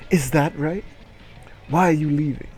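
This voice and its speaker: Man playing a confiding flirty woman, unhurried, slightly high for a man, comedic story reading. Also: darkish tone, slightly rough, soft background music. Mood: curious.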